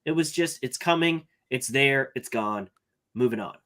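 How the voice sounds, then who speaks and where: A man's voice: medium pitch, normal speed, sharp tone. A man co-hosting a casual nerd podcast, critiquing a movie point by point. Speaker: podcast co-host. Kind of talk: casual chat.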